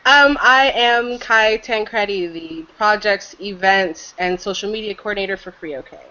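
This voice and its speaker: A woman's voice: mid-range voice, normal speed, bright, forceful tone. A woman who coordinates projects and social media, introducing herself briefly in an online meeting. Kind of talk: casual online meeting chat. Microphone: video call. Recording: dull lo-fi video call audio.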